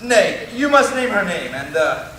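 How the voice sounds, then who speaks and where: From far off in an echoey room comes a bombastic, eager weaver acted by a man. His voice is strikingly high for a man, and he commands like a self-appointed star of a stage comedy. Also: sing-song, unhurried, bright, forceful tone, heavily acted. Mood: bossy, confident. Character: Bottom, bossy, eager weaver and amateur actor. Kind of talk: theatrical Shakespearean stage acting. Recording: echoey room, distant mic, faint noise.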